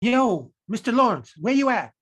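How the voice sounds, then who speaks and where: A young male messenger, played by an actor, explains himself apologetically in streetwise slang. The pitch is middling, in a stage drama read over a video call. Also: sing-song, moderate pace, a bit bright, breathy edge. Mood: apologetic, urgent. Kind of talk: table read of a stage play, urban slang.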